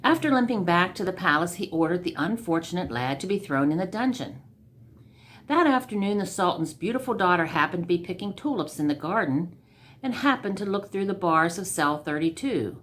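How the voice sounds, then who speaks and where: Woman, storyteller, scripted tale reading, slightly deep voice, very expressive intonation. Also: moderate pace.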